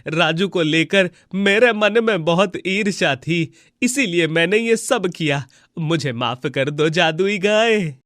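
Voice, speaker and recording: A man playing a remorseful landlord in a moral story, begging in a drawn-out, pleading way. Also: high-pitched, lively intonation, fairly bright tone, no echo, studio quality, heavily acted. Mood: pleading, fearful.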